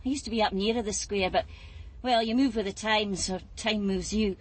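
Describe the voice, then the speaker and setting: A woman's voice: mid-range voice, normal speed, lightly acted. Woman acting a weary, blunt Glaswegian streetwalker. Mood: chatty, uneasy. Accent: Glaswegian Scottish. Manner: chatty, blunt, colloquial. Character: weary, shaken, plain-spoken Glasgow streetwalker and mother. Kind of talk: naturalistic radio drama acting.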